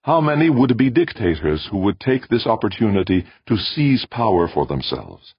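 A man voicing a calm, ancient alien being who speaks in a flat, intoning way. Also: lowish voice, normal speed, slightly rough, no echo, pitched above the performer's own voice, heavily acted. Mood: solemn. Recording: dull dry recording.

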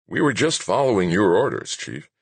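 A man's voice: mid-range voice, big pitch swings, moderate pace, husky, dry recording, voice pushed up. A man voicing an anxious younger news executive in an audiobook, timid, deferential and defensive. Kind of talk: audiobook character dialogue.

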